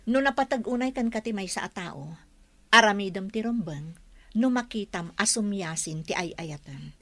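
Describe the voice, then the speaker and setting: A woman's voice: mid-range voice, lively intonation, moderate pace, a bit bright, dry recording. A woman acting as a wary young wife in an audio drama, asking pointed, quizzing questions.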